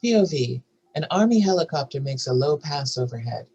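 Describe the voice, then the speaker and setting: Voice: medium pitch, animated, brisk, warm tone, husky, lo-fi sound. A narrator reading out stage directions and scene headings in a scripted table read.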